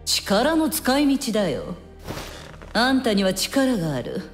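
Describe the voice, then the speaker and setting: An actress playing a brash, cynical woman with blunt, mocking, rough speech in an anime game cutscene. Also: a little high, very animated, slow, exaggerated voice. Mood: taunting. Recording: long reverb, music behind.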